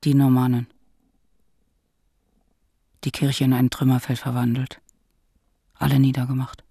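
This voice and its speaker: An actor playing a grave, brooding medieval monk who recalls loss in a solemn, husky voice, as radio drama narration. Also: male voice, mid-range voice, monotone, a little slow, mellow, dry recording, studio quality. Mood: grave, shaken.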